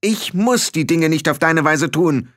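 A man's voice: fairly high pitch, lively intonation, unhurried, a bit bright, voice pushed up. A man reading a storybook aloud, voicing a headstrong young girl witch who fires off indignant questions. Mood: defiant.

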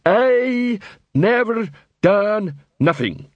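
A man voicing a hulking, suspicious troll in an audiobook, sullen and slow-witted, with an ungrammatical growl. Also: high-pitched, sing-song, no echo, muffled recording, pitched above the performer's own voice, full character voice. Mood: sullen, defensive. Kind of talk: audiobook character voice.

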